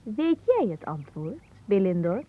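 A woman's voice: medium pitch, big pitch swings, measured pace, narrow, tinny audio. An actress playing a capricious, haughty young woman in a radio play, teasing and theatrical, with a dreamy storytelling way. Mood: dreamy, wistful. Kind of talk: Dutch radio play acting.

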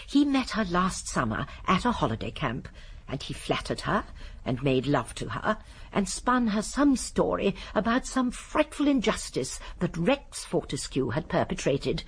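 A woman playing a shrewd, genteel elderly English lady sleuth in a radio drama, speaking primly and precisely with a gently assured manner. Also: fairly low pitch, sing-song, unhurried, breathy edge, heavily acted. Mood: explaining. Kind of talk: BBC radio drama acting.

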